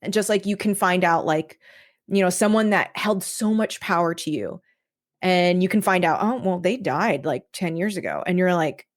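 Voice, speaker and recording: A woman talking casually as a podcast guest, explaining her creative choices in an animated, conversational way and voicing imagined reactions. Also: lowish voice, normal speed. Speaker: guest, audio drama creator.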